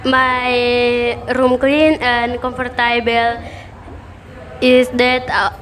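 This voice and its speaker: Slow flat-voiced teenage girl. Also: fairly high pitch, slight background noise. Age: teens. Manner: slow, halting, second-language English. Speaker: guest, nursing student.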